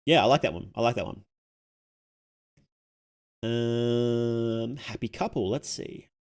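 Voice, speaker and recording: A man's voice: mid-range voice, slow, clean tone. A man hosting a livestream, reacting casually to videos in a rambling, informal, slangy way.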